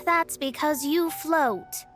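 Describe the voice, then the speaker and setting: A haughty young astrologist with a high voice, speaking in formal, lofty words. She is a proud, learned figure in a video game. Soft music plays faintly behind her. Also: slow pace.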